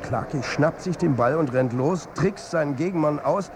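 A man's voice: fairly high pitch, normal speed, noisy background, lightly acted. An actor playing a wry, weary football coach and family man, narrating a radio drama in a colloquial, confiding way. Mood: wry, building hope. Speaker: narrator and protagonist.

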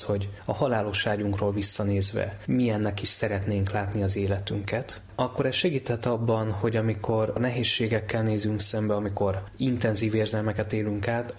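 A man speaking as an expert interviewee, explaining ideas. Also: on the low side.

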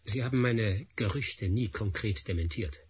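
An actor playing a defiant researcher who coldly threatens his rival in a radio play, with a husky voice. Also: lowish voice. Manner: cold, threatening, measured. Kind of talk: radio drama acting.

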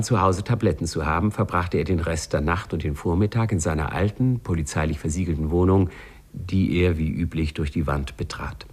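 An actor as a wry, knowing storyteller, narrating a 1950s German radio play in a measured way. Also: male voice, deep voice, darkish tone, breathy edge. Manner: wry, measured, addresses listeners. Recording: 1950s radio recording, faint music behind.

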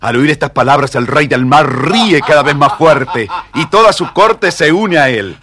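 A man with an Argentine accent narrating a scripted children's story with expressive storyteller phrasing. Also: fairly high pitch, a little slow, a crowd in the background.

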